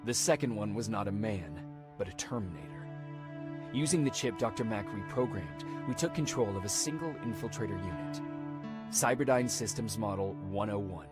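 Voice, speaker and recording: An actor playing a weary, earnest resistance soldier in a video game drama, with a sombre manner. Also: male voice, fairly low pitch, animated, moderate pace, close to an everyday voice. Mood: sombre, reflective. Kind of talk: dramatic game dialogue and reflective narration. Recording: loud music behind the voice.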